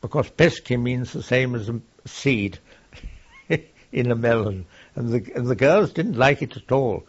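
An older man with a gravelly, husky voice, recalling his wartime service haltingly, with many pauses and fillers. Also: medium pitch, expressive. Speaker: war veteran recounting service. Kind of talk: oral history recollection. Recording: dull lo-fi archive tape.

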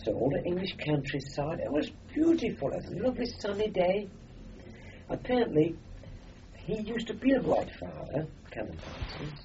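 A man's voice: sits high, very animated, moderate pace, mellow, a little hiss or hum. An older man from Austria, a native German speaker, telling a rambling oral-history story as an interviewee, with many fillers and restarts. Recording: dull lo-fi recording.

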